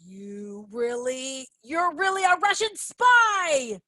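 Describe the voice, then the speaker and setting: An excitable flying boy, as a young actress plays him, very high and lively with a bright ring, shouting out his lines, dry and close. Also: takes it slowly, no echo, heavily acted. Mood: accusing. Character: excitable boy who can fly.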